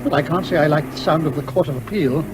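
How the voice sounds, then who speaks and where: An actor playing a blustery, excitable Major in a British comic radio drama, with an English accent and a hearty, grumbling manner. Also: male voice, a little high, fast, close to an everyday voice. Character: blustery, excitable male Major who distrusts lawyers. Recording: vehicle and outdoor noise.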